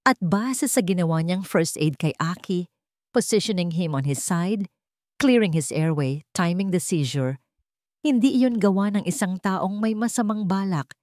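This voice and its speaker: A woman's voice: fairly low pitch, unhurried, darkish tone, dry recording, clean studio sound, close to an everyday voice. A woman voicing a blunt, morally indignant male doctor in a story, speaking firmly and accusingly. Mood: stern, explaining. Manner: firm, accusing, switches into formal English.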